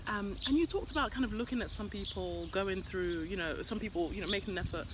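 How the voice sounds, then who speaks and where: A woman's voice: mid-range voice, fast talker, very noisy. A woman hosting an interview, bubbly and enthusiastic, with many affirming murmurs. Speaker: host, success coach. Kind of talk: interview host.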